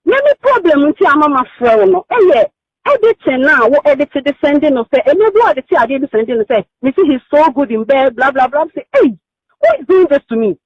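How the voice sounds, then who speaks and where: A woman's voice: high-pitched, sing-song, fairly quick, no room sound, muffled recording. A Ghanaian-accented woman in a heated private phone conversation, talking in an insistent, repetitive, rambling way over a recorded call. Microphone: telephone.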